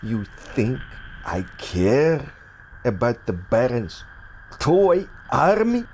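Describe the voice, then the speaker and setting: An actor playing a ruthless, obsessed villain, menacing and commanding, in an audio drama. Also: male voice, medium pitch, very animated, drawn-out words, a little mellow, a little hiss or hum, full character voice. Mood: scornful. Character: ruthless, obsessed male villain barking threats.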